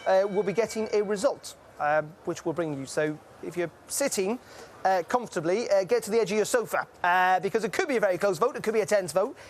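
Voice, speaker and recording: A man hosts a live news broadcast, speaking unscripted and filling pauses with frequent ums and uhs. He sounds conversational rather than polished, with a faint layer of noise behind him. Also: high voice. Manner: loose, filler-heavy live delivery. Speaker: news presenter.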